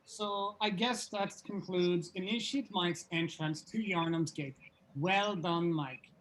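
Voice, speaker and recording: A man's voice: high voice, a little slow, fairly bright tone, slight background noise, low-quality recording. A man playing a pompous band leader with a mock-solemn, ceremonial manner in a comedy script read-through.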